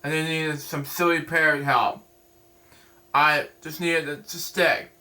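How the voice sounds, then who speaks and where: A grumpy young bear from a storybook, read by a man in a near-everyday voice. The voice is bright and slightly high. The bear talks in an irritable, complaining way. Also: flat delivery, unhurried, poor audio. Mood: irritated.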